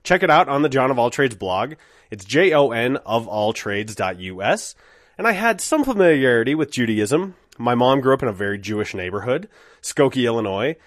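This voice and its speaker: A man's voice: mid-range voice, sing-song, moderate pace, dry recording, clean studio sound. A middle-aged man with a cold, hosting a podcast and speaking in an earnest, candid, conversational way. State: has a cold. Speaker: podcast host. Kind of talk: casual podcast intro monologue.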